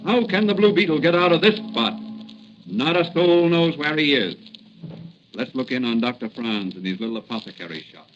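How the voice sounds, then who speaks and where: A man's voice: mid-range voice, lively intonation, moderate pace, lightly acted. A male announcer narrating a 1940s radio serial in a declamatory, suspenseful manner, on a muffled, hissy old recording. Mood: dramatic, suspenseful.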